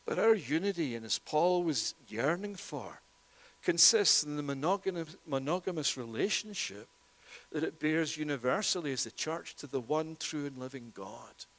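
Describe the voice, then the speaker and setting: A man with a high voice preaches an earnest sermon to his church. He keeps circling back with "it is that" phrasing. His voice rings out with a long reverb that hangs in the hall. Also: lively intonation, normal speed, fairly bright tone. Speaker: pastor.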